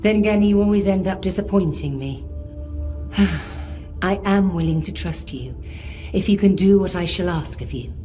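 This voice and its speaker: A woman playing a composed elf of authority in a video game, measured, formal and faintly disdainful. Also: mid-range voice, dark tone, faint music behind, natural voice. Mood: condescending, conditional. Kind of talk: video game character acting.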